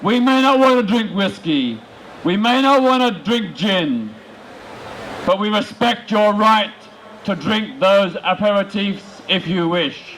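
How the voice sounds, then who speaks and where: A man making an emphatic protest speech outdoors, in short, deliberate rhetorical phrases. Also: high voice, lively intonation, fairly bright tone, low-quality recording. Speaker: political campaigner speaking at a rally. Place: large open-air city square rally. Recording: outdoor public address with background noise.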